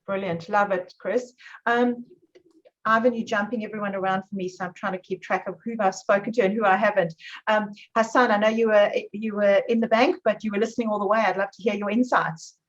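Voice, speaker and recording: A woman hosting a video meeting, calling on people in an upbeat, brisk way. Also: medium pitch, fairly even intonation. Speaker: meeting host. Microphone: video call. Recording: dull lo-fi call audio.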